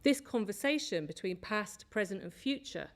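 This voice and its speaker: A woman of middle pitch gives a conference presentation from a lectern. She reads from prepared notes at a measured pace. She corrects the odd slip as she goes. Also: lively intonation. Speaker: conference speaker, lecturer. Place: lecture hall.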